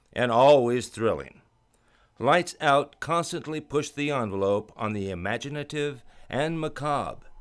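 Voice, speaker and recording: A man hosting a radio show from a studio in a theatrical, old-time announcer style, with grand scripted narration. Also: on the low side.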